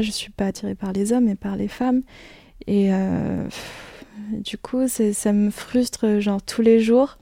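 A woman in her twenties speaks in a mellow, gravelly voice with a flat, even delivery. She talks hesitantly, with many fillers and restarts. The recording is close and dry. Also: mid-range voice, dry recording. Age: 20s. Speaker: interviewee giving a personal testimony. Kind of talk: candid personal account.

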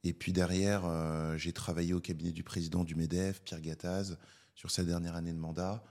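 A young Parisian man in his twenties, a podcast guest, explaining his career in a measured way with many hesitations. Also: low-pitched, flat delivery, dark tone. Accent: Parisian French. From France. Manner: measured, filler-heavy, explanatory. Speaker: podcast guest, public affairs director. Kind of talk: casual interview talk.

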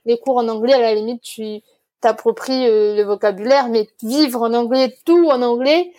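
A woman's voice: on the high side, moderate pace, mellow. A woman in her twenties answering as a guest in a casual interview, chatty and explanatory, given to easy laughter. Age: 20s.

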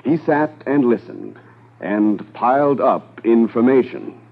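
An actor as the narrator of a 1950s radio crime drama, measured and portentous in delivery. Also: male voice, medium pitch, sing-song, exaggerated voice. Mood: grave, dramatic. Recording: muffled 1950s radio recording.

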